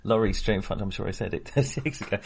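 A man's voice: lowish voice, rapid-fire, vocal fry, a little mellow, breathy edge. A man co-hosting a film podcast in casual discussion, talking hesitantly, often interrupting himself and rambling.

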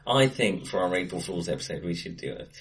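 A middle-aged man chatting casually and wryly about films as a podcast co-host, recorded in a bright, echoey room. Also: deep voice, animated, brisk, vocal fry, slight background noise. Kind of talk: casual film chat. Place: face to face in an acoustically bright room.

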